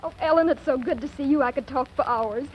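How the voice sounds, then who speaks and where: Woman as cheery young American, vintage radio ad: high, chirpy, muffled sound. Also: fast, natural voice. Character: cheery young women chatting in a soap advert. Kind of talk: 1940s radio advert acting. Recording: muffled, noisy old radio recording.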